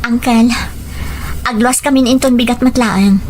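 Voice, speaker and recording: A woman's voice: a little high, steady tone, normal speed, darkish tone, a little hiss or hum, close to an everyday voice, low-quality recording. A woman with an Ilocano accent acting a hurt, defiant mother guarding her child in an audio drama. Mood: resolute. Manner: emotional, indignant. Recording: music bed under the voice.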